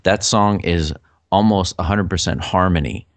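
A middle-aged man with a low voice, co-hosting a casual podcast chat in a chatty, rambling way. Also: moderate pace, no room sound. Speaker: podcast co-host.

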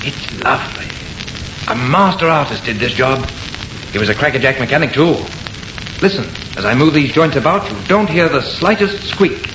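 A noisy, echoey vintage radio recording carries a man playing an assured, enthusiastic artist. His middle-pitched voice is brisk and theatrical, scoffing at any fear as in a horror serial. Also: expressive, lightly acted. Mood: admiring. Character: confident, scoffing male artist, enthusiastic and unafraid. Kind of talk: old-time radio horror acting. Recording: muffled, echoey old radio recording, heavy noise.

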